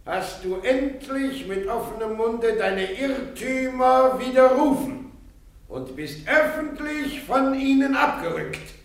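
An actor playing a court official formally reading out a verdict in a radio play. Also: male voice, sits high, heavy reverb, full character voice. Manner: slow, measured, formal.

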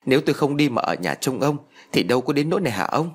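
A man's voice: on the low side, very animated, quick pace, slightly rough. A man voicing a hot-tempered, foul-mouthed old village wife who scolds and laments with raw emotion in an audio story. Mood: distraught, pleading.

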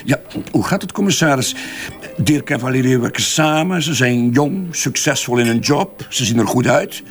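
Defensive Flemish agency boss, mid-pitched man acting. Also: expressive, moderate pace, soft background music, natural voice. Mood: flustered, defensive. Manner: hesitant, evasive, backtracking. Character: defensive male advertising agency boss under questioning. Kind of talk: radio crime drama dialogue.

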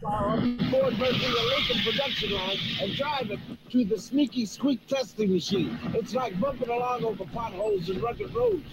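A man voicing an old TV commercial with a chirpy sales pitch. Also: animated, moderate pace, heavy background noise. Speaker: commercial announcer. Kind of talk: vintage TV commercial voice-over. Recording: old TV ad audio with music.

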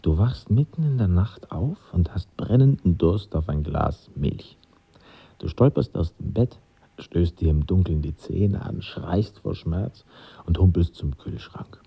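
Deep, gravelly, wry womanizer. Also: lively intonation, measured pace, heavily acted. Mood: wry, dramatic. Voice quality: gravelly, raspy. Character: wry womanizing male friend telling a bleak parable. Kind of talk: audio drama monologue. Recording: dull studio drama sound.

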